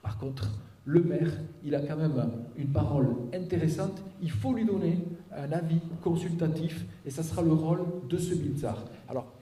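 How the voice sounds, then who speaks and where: Male local official explaining a plan methodically to a meeting; very mellow tone, distant and reverberant in an auditorium. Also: a little high, normal speed. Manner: didactic, step-by-step, with check-in tags and rhetorical questions. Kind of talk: public presentation, explanatory talk. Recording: echoey auditorium, picked up from a distance.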